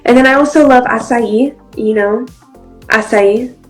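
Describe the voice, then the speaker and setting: A woman's voice: a little high, unhurried, faint music behind. A young woman from Brazil, whose first language is Portuguese, answering interview questions in a friendly, chatty way with many ums. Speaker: interview guest, actress and model.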